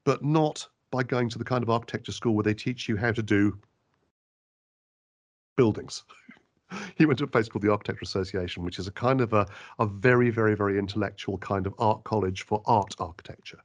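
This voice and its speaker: A man who works as a radio interviewer, answering questions in an animated, emphatic way with frequent restarts. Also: on the low side, brisk.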